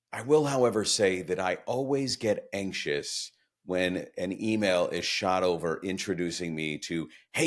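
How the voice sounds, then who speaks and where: A man hosting a podcast interview, chatty and enthusiastic, asking long winding questions. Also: low voice. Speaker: podcast host.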